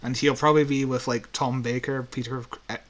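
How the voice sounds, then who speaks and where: A man's voice: mid-range voice, level tone, a little fast. A man co-hosting a podcast, chatting casually as a fan in a dry, teasing way. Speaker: podcast co-host.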